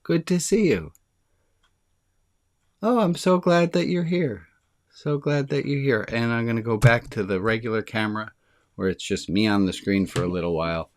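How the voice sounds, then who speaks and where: Eager, fast-talking rabbi with a deep voice. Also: male voice. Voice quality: deep resonant voice. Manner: eager and fast, says excitement speeds him up. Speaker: rabbi hosting an online group session. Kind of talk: casual, chatty talk to a group on a video call. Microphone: video call.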